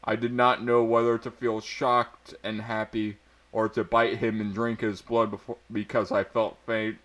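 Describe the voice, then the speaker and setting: A man's voice: fairly low pitch, level tone, unhurried, fairly bright tone. A man giving an amateur mock-dramatic reading of fan fiction, stumbling over words and prone to breaking up. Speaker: amateur reader.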